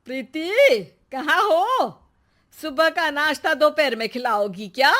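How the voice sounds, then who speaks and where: A nagging middle-aged mother-in-law acted by a woman from a cartoon family drama. Her voice is forceful and bright, slightly high, and she speaks slowly with demanding sarcasm. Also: animated, exaggerated voice. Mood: angry, sarcastic. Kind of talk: animated moral story voice acting.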